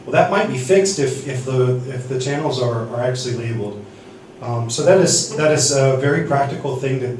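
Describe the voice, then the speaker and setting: A man teaching a seminar on church sound desks, speaking haltingly with many restarts and fillers. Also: mid-range voice, darkish tone, slight background noise. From United States. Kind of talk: teaching sound-desk basics. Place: church hall. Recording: echoey hall from far off.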